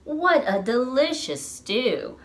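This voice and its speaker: A woman voicing a kind, generous home cook in a picture book, with a slow, savouring delivery. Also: high voice, sing-song, exaggerated voice. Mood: pleased.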